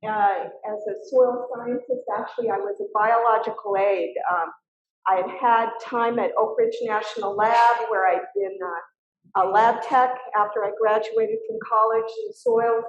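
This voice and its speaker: A woman's voice: medium pitch, steady tone, measured pace, echoey room, muffled recording. An older woman with a small, girly voice, a retired scientist candidly recalling her career with many fillers. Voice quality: little girly voice. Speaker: guest speaker, retired soil scientist. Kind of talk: personal career story in a talk.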